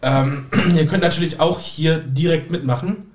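A man with a slightly high voice talks casually. He sounds like a guest chatting freely on a podcast. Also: fairly even intonation, moderate pace, darkish tone. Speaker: guest magician.

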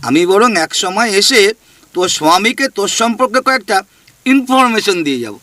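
A man's voice: high voice, animated, sharp tone. A man playing a cheeky teasing ghost. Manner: cheeky, mocking, drawn-out teasing. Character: mischievous male ghost, teasing and bossy. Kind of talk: audio drama acting.

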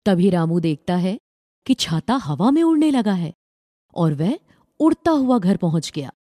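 Mellow, expressive woman storyteller. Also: on the low side, a little slow, dry recording, studio quality. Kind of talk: scripted children's story narration.

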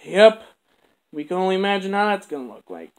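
Quiet, halting toy-show voice. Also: medium pitch, big pitch swings. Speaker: home video toy show maker. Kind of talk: plush toy skit with puppet dialogue. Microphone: camera on a bedroom floor.

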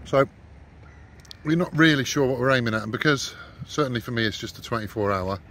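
A man's voice: a little fast, fairly bright tone. A man, talking casually to camera as an angling vlogger, in a hesitant, rambling way. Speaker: angler vlogger. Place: in a moving car, later outdoors by a lake. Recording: noisy, wind and road rumble.

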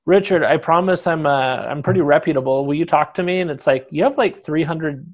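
A young man hosts a relaxed interview over a video call, wearing headphones. His voice sits a little high for a man, and he talks in a chatty, rambling way, often restarting his sentences. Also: a little fast, dull audio. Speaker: podcast host.

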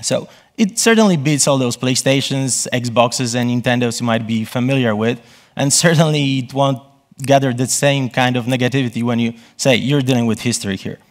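Halting male presenter. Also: mid-range voice. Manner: halting, self-correcting delivery. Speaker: conference speaker. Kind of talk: conference presentation. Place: on stage in a conference hall.

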